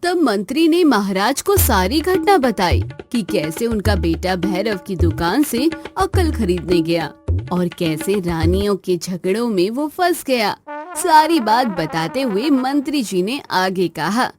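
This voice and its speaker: A woman's voice: medium pitch, sing-song, slow, music in the background. A woman working as a narrator, reading a scripted moral story.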